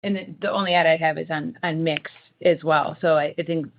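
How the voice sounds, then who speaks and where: A man with a fairly high, noticeably creaky voice speaks as an executive explaining decisions to analysts. The talk is semi-formal business speech, with slightly dull call audio. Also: fairly even intonation, brisk, a little hiss or hum. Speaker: company executive on an earnings call. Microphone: conference call line. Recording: muffled conference call audio.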